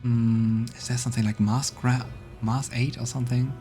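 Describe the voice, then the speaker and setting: A man's voice: medium pitch, level tone, moderate pace, mellow. A man streaming a game, thinking aloud and muttering commands with plenty of hesitation. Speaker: let's play streamer. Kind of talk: casual gameplay commentary. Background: faint game music.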